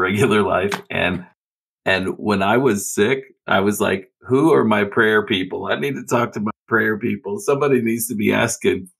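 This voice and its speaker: A man's voice: deep voice, fairly bright tone. A man speaking earnestly and wordily as a player in a tabletop roleplaying game. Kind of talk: casual chat and in-character roleplay at a game.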